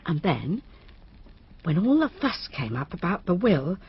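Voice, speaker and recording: Husky, anxious cleaning woman. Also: fairly low pitch, sing-song, unhurried, highs cut off, exaggerated voice. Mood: uneasy. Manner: hesitant, rambling, confiding. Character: anxious, deferential working-class widowed cleaning woman. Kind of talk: radio drama acting.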